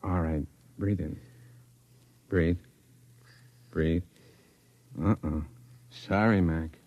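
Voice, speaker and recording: A man playing a businesslike, unhurried fairground doctor in a 1950s radio drama. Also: sits low, fairly even intonation, darkish tone. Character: matter-of-fact fairground doctor doing checkups. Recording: muffled 1950s radio recording.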